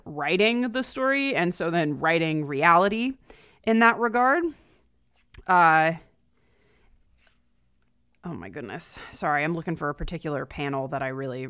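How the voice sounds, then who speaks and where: A woman guest co-hosting a podcast, chatting casually in a halting way with lots of "like". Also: fairly low pitch, a bit bright, smooth, no echo, studio quality. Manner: halting, peppered with "like". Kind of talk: casual chat.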